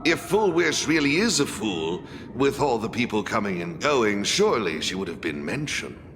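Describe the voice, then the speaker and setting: A man's voice: lowish voice, very animated, normal speed, background noise. A man voicing a suave, shrewd, well-connected tavern figure in a video game, talking in a knowing, theatrical way. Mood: knowing, measured. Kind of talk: English video game voice acting. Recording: music playing behind the voice.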